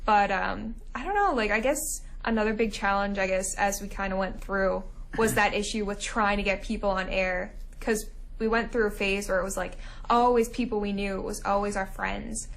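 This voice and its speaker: A woman's voice: mid-range voice, steady tone, moderate pace, gravelly. A young woman who co-hosts a radio show, chatting as a guest in a friendly, rambling way. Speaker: campus radio show co-host. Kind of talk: casual interview chat.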